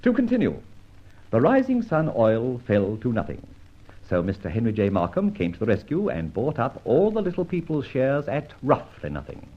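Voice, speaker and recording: An actor playing a masked, mocking yet courteous gentleman abductor in an old-time radio detective drama, with a theatrical, urbane, teasing delivery. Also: mid-range voice, huge pitch swings, normal speed, highs cut off. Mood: wry, persuasive.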